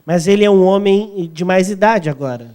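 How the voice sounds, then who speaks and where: A man with a Brazilian accent interpreting a sermon phrase by phrase, sometimes halting as he searches for wording. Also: sits high, warm tone, clear, resonant tone.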